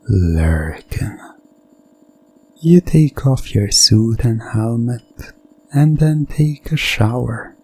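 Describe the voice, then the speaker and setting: A man narrating a hypnosis ASMR story in the second person, in a deliberate, suspenseful way. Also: medium pitch, warm tone, low-quality recording.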